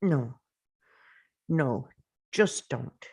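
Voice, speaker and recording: A woman's voice: extremely low pitch, animated, extremely slow, a little mellow, slightly rough, full character voice. A woman playing a grieving, angry father in a dramatic script read, confrontational and emphatic. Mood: outraged. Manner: confrontational, emphatic, pressing with questions.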